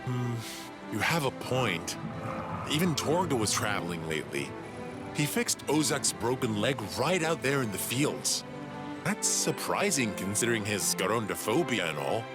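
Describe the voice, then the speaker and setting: A man's voice: medium pitch, very animated, moderate pace, lightly acted. A man voicing an eager, cocky young alien inventor who explains things condescendingly in a video game. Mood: chatty. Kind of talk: video game cutscene dialogue. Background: background music.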